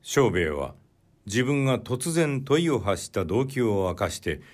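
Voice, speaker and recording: A man reading classic literary fiction as an audiobook narrator, in a measured, deliberate way. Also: medium pitch, sing-song.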